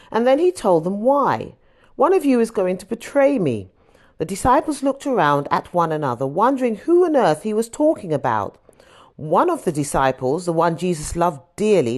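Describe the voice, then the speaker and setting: A woman's voice: medium pitch, darkish tone. A woman reading a Bible story as audiobook narration, expressively, with emphasis on the dialogue. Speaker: scripture reader.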